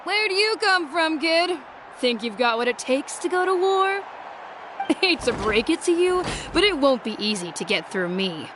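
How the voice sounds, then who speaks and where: An actress voicing a cocky, taunting veteran fighter in a video game, swaggering and teasing. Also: female voice, sits high, big pitch swings, normal speed, noisy background. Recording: game music behind.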